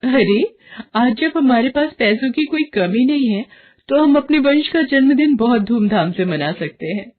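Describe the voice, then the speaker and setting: A woman voicing an excitable, greedy young wife and mother in a cartoon moral story, emphatic and melodramatic. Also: fairly high pitch, moderate pace, phone-quality sound, pitched above the performer's own voice. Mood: boastful.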